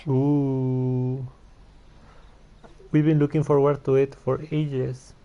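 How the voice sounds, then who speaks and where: A man's voice: mid-range voice, level tone, slow pace. A man giving casual commentary as he plays a game. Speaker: player reacting to the game. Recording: dull lo-fi mic, faint game music.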